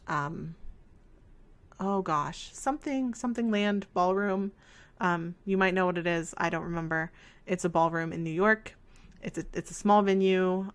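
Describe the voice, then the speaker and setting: Scratchy-voiced woman chatting over gameplay. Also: lowish voice. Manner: rambling and self-deprecating, frequent fillers. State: scratchy voice from talking. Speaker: let's play host. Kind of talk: casual chat over gameplay.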